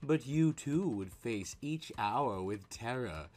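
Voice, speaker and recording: A man narrating a horror comic story in a deliberate, melodramatic scripted style. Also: mid-range voice, lively intonation, darkish tone, faint music behind.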